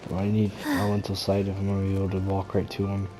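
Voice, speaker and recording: A man's voice: sits low, monotone, quick pace, music in the background. A man giving casual, slangy, offhand commentary as he plays a video game. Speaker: gamer, playthrough host. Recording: noisy, game ambience behind.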